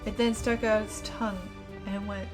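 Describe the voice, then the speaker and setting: A woman co-host reading stories aloud dramatically, expressive and playful, often breaking off into casual asides. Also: mid-range voice, moderate pace, music in the background. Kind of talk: dramatic story reading mixed with casual banter.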